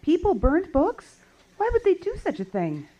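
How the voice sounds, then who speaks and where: A woman playing a curious wandering survivor who loves books, in an audio drama, with an expressive, questioning delivery. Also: very high voice, moderate pace, dull audio, exaggerated voice. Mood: incredulous, indignant. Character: wandering female book lover, curious, pleading, indignant survivor. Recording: clearly noisy background with clicks.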